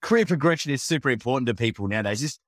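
A man co-hosting a podcast who asks guests questions in a rapid, casual way. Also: mid-range voice, animated, dry recording, clean studio sound. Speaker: podcast co-host. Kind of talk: casual interview chat.

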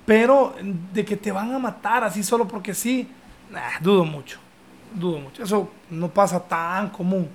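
A young Honduran man with a fairly high, light voice talks in an easy, joking way. He hosts a relaxed conversation, chatting and teasing his guest. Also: moderate pace. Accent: Honduran Spanish. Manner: joking, chatty, uses vos. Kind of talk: casual chat.

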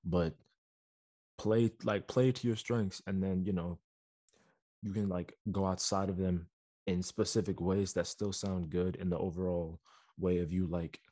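A man with a very deep voice chatting casually, thoughtful and searching for words. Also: little pitch movement, a little slow, mellow, no room sound. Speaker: musician in a discussion. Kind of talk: casual chat.

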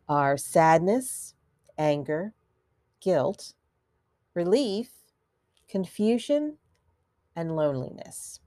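A woman who is a counselor hosting a grief podcast, talking gently and in a measured way, a little stuffy from allergies. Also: low voice, no echo, clean studio sound. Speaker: podcast host and licensed counselor. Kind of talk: solo podcast talk.

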